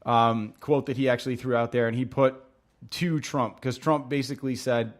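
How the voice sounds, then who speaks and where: A man's voice: medium pitch, steady tone, moderate pace. Rambling, laid-back male podcast co-host. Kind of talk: casual chat.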